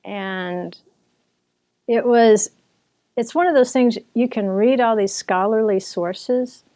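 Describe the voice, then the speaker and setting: Mellow-voiced woman teaching in easy chat. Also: a little high, takes it slowly. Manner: chatty, with restarts and occasional laughter. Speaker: author and Bible teacher. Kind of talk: relaxed conversational teaching.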